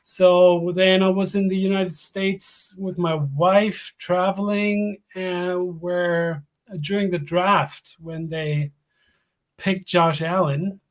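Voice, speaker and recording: A man's voice: sits high, fairly even intonation, slow. A middle-aged man from Germany, a native German speaker, calling in to chat about his favorite team in friendly, careful English. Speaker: caller, fan from abroad. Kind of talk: casual sports chat.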